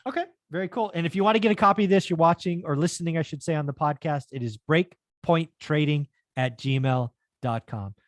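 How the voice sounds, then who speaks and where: A man's voice: fairly high pitch, a little fast, no room sound, clean studio sound. A middle-aged man hosting a podcast, greeting his guest in a chatty, upbeat way over a headset. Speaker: podcast host. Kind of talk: casual interview. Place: home studio indoors.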